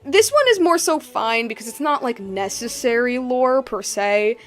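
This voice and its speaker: Higher-pitched animated woman streamer. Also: moderate pace. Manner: animated, thinking aloud in broken bursts. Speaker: game streamer. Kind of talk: casual playthrough commentary.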